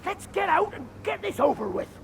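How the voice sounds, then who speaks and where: Squeaky high rat creature for a game, bitter and jumpy, voice swoops all over. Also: male voice, very animated, creaky, fairly bright tone, a little hiss or hum, full character voice. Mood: bitter, desperate. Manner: exclamatory, drawn-out, agitated. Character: jittery cowardly rat creature in exile, bitter and excitable. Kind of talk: video game character acting.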